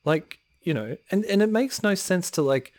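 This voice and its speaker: Male podcast co-host, slightly hoarse, exasperated casual ranting with sighs, dry close mic. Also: sits high, animated, moderate pace, a little mellow, dry recording. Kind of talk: casual chat.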